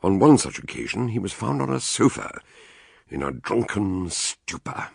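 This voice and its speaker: An actor playing an older British detective, deliberate and weighty, narrating his case notes in a radio drama. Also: fairly low pitch, sing-song, creaky, slightly rough, no echo, studio quality, full character voice. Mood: measured, grave.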